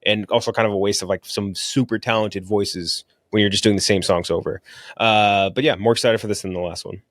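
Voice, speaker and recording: A man's voice: fairly low pitch, steady tone, a little fast, a bit bright, no room sound, clean studio sound. A man hosting a casual movie podcast, talking in a blunt, slangy, profane way. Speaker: podcast host reviewing movies.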